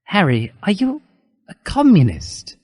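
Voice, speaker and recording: A man's voice: sits high, huge pitch swings, measured pace, darkish tone, husky, no echo, voice pushed up, exaggerated voice. A man voicing a timid, prim and earnest teenage schoolgirl in a comic dramatic reading. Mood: questioning.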